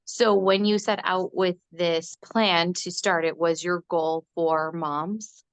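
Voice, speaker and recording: A woman's voice: on the low side, fairly even intonation, slow, clear, resonant tone, dry recording. A woman hosting a podcast, upbeat and friendly as she interviews a guest. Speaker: podcast host.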